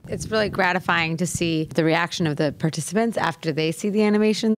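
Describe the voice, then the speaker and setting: A woman's voice: fairly low pitch, fast, low-quality recording. A woman speaking as an interviewee, explaining the work of her organization.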